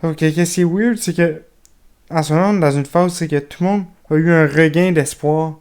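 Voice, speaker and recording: A man's voice: a little high, steady tone, normal speed, darkish tone, clean tone. A man with a Québécois accent hosting a podcast, chatty and casual, often stretching out his hesitations. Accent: Québécois French. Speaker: podcast host.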